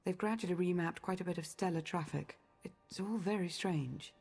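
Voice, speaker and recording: A woman voicing a cool, calculating intelligence agent in a video game, measured, formal and controlled. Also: lowish voice, creaky, darkish tone, slightly rough, faint music behind.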